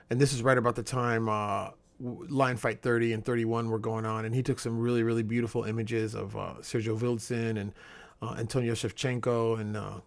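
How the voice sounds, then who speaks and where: A man from Chicago hosting his first podcast, talking in a relaxed, upbeat way with plenty of fillers. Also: medium pitch, gravelly. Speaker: podcast host. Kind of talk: casual podcast intro and interview.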